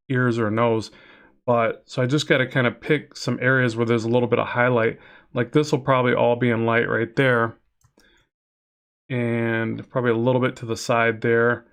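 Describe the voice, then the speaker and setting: Chatty nasal man with a gravelly edge. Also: medium pitch. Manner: rambling, chatty, reads chat aloud. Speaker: comic artist streaming a drawing session. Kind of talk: casual live-stream chat while drawing.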